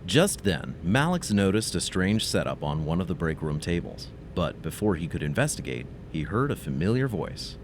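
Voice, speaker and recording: A man's voice: low-pitched, very animated, normal speed, few pauses, slight background noise. A man narrating a scripted audio story in a dramatic, slightly wry manner.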